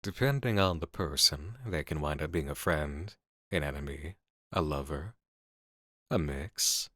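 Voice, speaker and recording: An intense, seductive horror game developer, played by a man, speaks in a deep voice with close, dry sound. He is assured and deliberate, musing philosophically as if confiding in the listener in an intimate audio roleplay. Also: a bit bright, no room sound, exaggerated voice. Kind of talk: ASMR roleplay voice acting.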